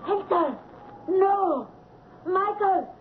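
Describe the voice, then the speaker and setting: In an echoey, noisy old radio drama, a frightened lady. Her voice is piercingly high and full of melodramatic alarm. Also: lively intonation, extremely slow, phone-quality sound, full character voice.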